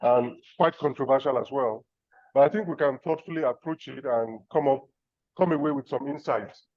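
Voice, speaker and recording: A middle-aged man from Nigeria giving measured, reflective remarks as a discussant on an academic conference panel. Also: mid-range voice, no room sound, dull audio. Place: conference room.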